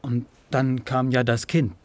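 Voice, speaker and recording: An actor in a radio play voicing an eager working-class man who justifies himself in halting, rambling colloquial speech. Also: mid-range voice, flat delivery, dry recording, lightly acted. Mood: brooding. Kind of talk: radio play monologue and dialogue.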